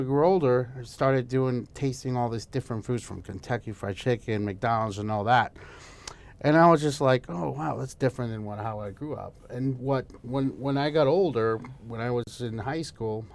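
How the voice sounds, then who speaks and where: A man's voice: mid-range voice, creaky. A middle-aged man from El Salvador, a guest chef talking casually in an interview, chatty and prone to restarting his sentences. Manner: chatty, frequent restarts and fillers.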